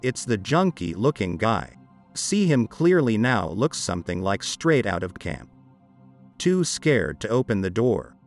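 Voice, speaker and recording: A man reading a scripted horror story as narrator, over dark background music. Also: lowish voice, very animated, unhurried. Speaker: narrator of creepy internet stories. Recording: dark ambient music behind.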